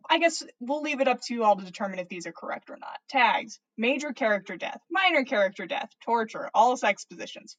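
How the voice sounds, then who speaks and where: A woman with a fairly high voice hosts a story reading. She delivers comedic fan fiction playfully, with amused, theatrical expression. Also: a bit bright, poor audio. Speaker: podcast host reading listener stories.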